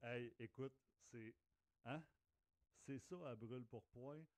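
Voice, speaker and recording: A man's voice: medium pitch, slow pace, no room sound. A man with a Quebec French accent chatting casually as co-host of a teaching podcast. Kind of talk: casual conversational podcast talk.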